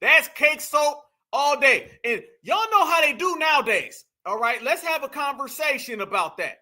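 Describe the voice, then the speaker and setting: A man hosting a reaction commentary show, talking in a brash, slangy, mocking way and sometimes breaking into shouts. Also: very high voice, very animated, normal speed.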